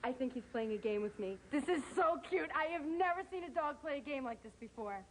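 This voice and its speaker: A woman's voice: high voice, animated, fairly quick, slight background noise. An actress playing a sweet, gushing woman who adores dogs, cooing in sing-song baby talk for children's television. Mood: delighted, playful.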